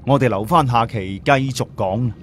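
A man's voice: medium pitch, normal speed, faint music behind. A man narrating a story in a dramatic audiobook style.

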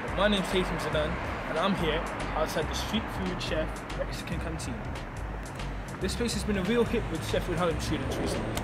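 A man's voice: on the high side, brisk, over loud music. Young man reviewing food, handheld mic. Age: young adult. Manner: relaxed, chatty, eats while talking. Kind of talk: casual food review to camera. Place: street outside a restaurant, then small canteen indoors.

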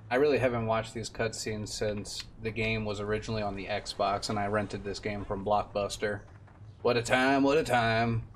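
A man's voice: on the low side, fairly even intonation, normal speed, slight background noise. A man in his twenties giving casual gameplay commentary as a streamer and speedrunner.

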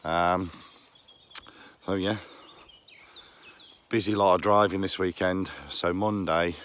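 A middle-aged man from England with a croaky voice, joking casually as he films his vlog outdoors. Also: sits low, level tone, slow, a bit bright. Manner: jokey, teasing banter. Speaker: vlogger. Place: outdoors by a lake and countryside path. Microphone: phone camera held close.

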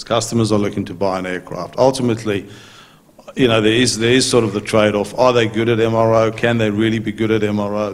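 A middle-aged man answers from a conference stage in a low, flat, measured voice. He holds a handheld mic, and a long reverb from the large hall trails each phrase. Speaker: maintenance company executive on a panel.

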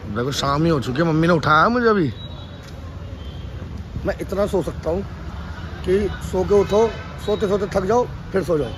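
A young man with a fairly high voice chats playfully into a phone held out at arm's length. He teases and comments as he goes, and loud noise runs behind him throughout. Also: lively intonation, moderate pace, long pauses. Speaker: vlogger. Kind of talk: casual vlog chat. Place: gym, car and street outdoors. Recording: noisy street and gym background.

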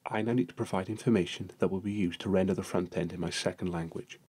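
A man narrating a software screencast tutorial, explaining each step in a steady manner. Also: low voice, fast, breathy edge.

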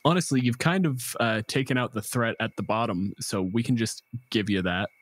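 A man's voice: mid-range voice, normal speed, dry recording. A man working as game master, narrating a tabletop role-playing game in a conversational, descriptive way. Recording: guitar music behind the voice. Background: plucked guitar music.

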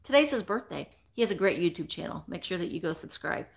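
A woman's voice: fairly low pitch, sing-song, fast talker, gravelly. A woman in her forties hosting a live vintage sale from a small room, chatting animatedly at her webcam and greeting viewers by name. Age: 40s. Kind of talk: chatty live selling stream. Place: small indoor room full of vintage decor. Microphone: webcam at desk, close framing.

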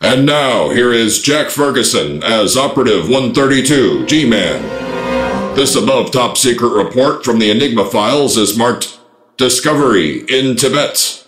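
An actor playing a solemn, theatrical announcer for an old-time radio serial. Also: male voice, mid-range voice, lively intonation, a little slow, close to an everyday voice. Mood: solemn, dramatic. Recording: roomy echo under loud music. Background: loud music.

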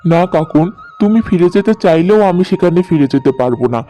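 Casting: man as proud, wronged young husband, cartoon tale, warm dark tone over music. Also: fairly high pitch, expressive, fairly quick, natural voice. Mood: hurt, defiant. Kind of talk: cartoon story acting. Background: background music.

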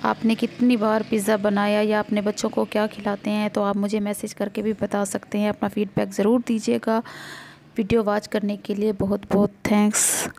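A middle-aged woman talks through her cooking in a relaxed, chatty voice-over. Her voice has a gravelly edge and stays on a narrow, level pitch. She starts each new step with "achha". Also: moderate pace, slight background noise. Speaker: cooking vlogger. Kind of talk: casual voice-over narration of cooking.

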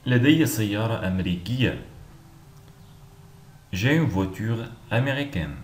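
A man teaching language, reading each short phrase with clear, deliberate pronunciation and repeating it several times. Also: lowish voice, animated. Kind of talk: slow repeated phrase drills. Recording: echoey room with faint noise.

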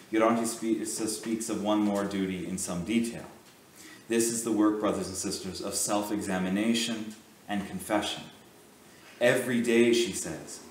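Low-voiced priest preaching in an echoey church. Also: male voice, far from the mic, slight background noise. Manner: measured, earnest preaching with deliberate pauses. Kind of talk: sermon.

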